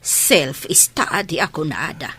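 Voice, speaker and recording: A woman's voice: low-pitched, expressive, slow pace, bright, forceful tone, breathy edge, exaggerated voice. A woman with a Filipino accent playing a firm, worried grandmother in a radio drama. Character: firm, worried grandmother who scolds her grown daughter.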